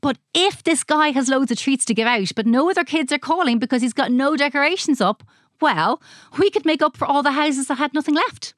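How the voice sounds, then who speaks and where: Woman as an eager, high-pitched child. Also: lively intonation, fairly quick, no echo, studio quality. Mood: arguing. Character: curious, polite young children in Halloween costumes.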